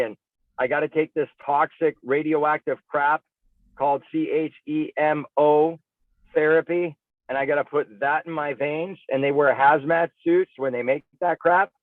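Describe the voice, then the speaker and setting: A man's voice: a little high, steady tone, moderate pace, no room sound. A man speaking as an interview guest, persuasive and emphatic, firing off punchy rhetorical questions over tinny, phone-like sound. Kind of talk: persuasive pitch in casual interview.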